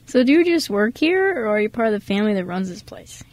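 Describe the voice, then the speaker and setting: A woman playing an awkward, kindly mechanic in his thirties in an amateur radio drama, casual and hesitant, with a husky voice. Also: mid-range voice, sing-song, fast talker, darkish tone, dry recording, lightly acted. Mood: friendly, casual. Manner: hesitant, self-effacing, casual. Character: awkward, kindly thirty-four-year-old male mechanic.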